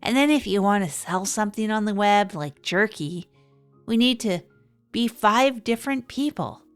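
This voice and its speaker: A woman's voice: mid-range voice, lively intonation, normal speed, lightly acted. Woman as reflective small-town radio host over music. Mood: reflective, earnest. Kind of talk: improvised monologue in comedy audio drama.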